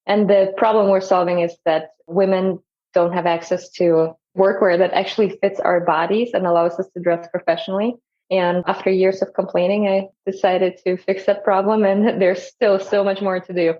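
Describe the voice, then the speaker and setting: A woman's voice: mid-range voice, flat delivery, normal speed. A woman telling her own story as an interview guest, on slightly lo-fi audio. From Kazakhstan and Germany. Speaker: company founder and CEO, interview guest. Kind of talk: conversational personal storytelling.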